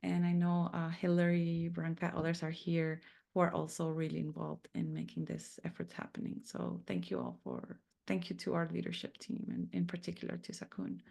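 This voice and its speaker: A woman's voice: lowish voice, normal speed, gravelly, warm tone. A woman hosting an online briefing as club president-elect, speaking hesitantly with many fillers and restarts over a video call. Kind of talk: introducing a panel briefing.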